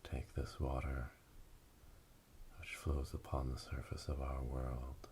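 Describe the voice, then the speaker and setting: A man playing a solemn witch elder leading an initiation rite in a breathy, whispered ASMR roleplay, speaking slowly and ceremonially. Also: level tone, darkish tone. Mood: solemn, hushed. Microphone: close binaural microphone. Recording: close binaural, rumbling noise, clicks.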